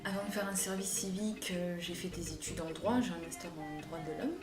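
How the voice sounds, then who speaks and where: A young woman introduces herself interview-style in a fairly low voice. The sound is echoey, with music clearly playing underneath. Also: brisk. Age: 20s. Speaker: volunteer.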